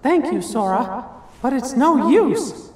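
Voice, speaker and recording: Small cartoon mouse apprentice, squeaky high voice, bouncy intonation, polite, folksy, echoey game audio. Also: very animated, slow, darkish tone, full character voice. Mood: earnest, worried. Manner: folksy, earnest, exclamatory. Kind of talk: cartoon video game voice acting.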